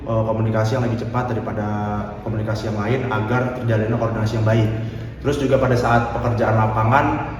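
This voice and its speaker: A young man giving an informal student group presentation. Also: medium pitch. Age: young adult. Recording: echoey hall, distant mic, music behind.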